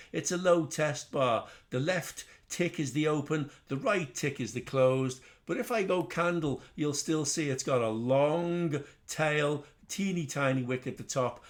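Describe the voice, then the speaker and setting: A middle-aged man with a Liverpool accent, hosting a casual trading webinar in a chatty, rambling way with self-deprecating asides. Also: mid-range voice. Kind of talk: casual live webinar teaching.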